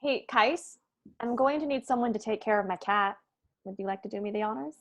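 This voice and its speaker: A woman's voice: on the high side, brisk. A woman playing a brainy, precise young female planner at a comedy table read. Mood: sincere.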